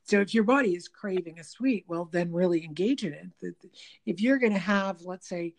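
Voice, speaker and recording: A woman's voice: fairly low pitch, brisk. A woman with a slightly nasal voice in casual conversation, rambling with many restarts. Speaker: guest talking about diet and the body.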